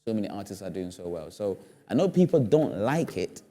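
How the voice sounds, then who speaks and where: A man's voice: fairly low pitch, sing-song, a little fast, dark tone. A man speaking as a studio guest on a discussion show, earnest and emphatic, often repeating phrases for effect. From Ghana. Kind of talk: panel discussion.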